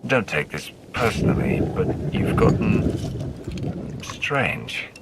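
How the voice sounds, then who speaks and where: A man's voice: on the low side, big pitch swings, drawn-out words, heavy background noise, heavily acted. A man playing a stern, guarded warrior in a video game, blunt, dry and skeptical.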